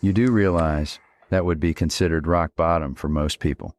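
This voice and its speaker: Actor as male imagined dead father, middle-aged, audio drama: deep bass register, chiding, teasing, fond. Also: fairly even intonation, normal speed, a little mellow, lightly acted. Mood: dry, teasing. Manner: dry, chiding.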